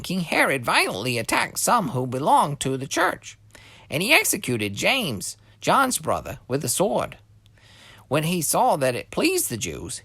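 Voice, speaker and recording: A man's voice: fairly high pitch, creaky, pushed and bright, husky, no room sound. A man reading a scripture story expressively for children as a scripted narration.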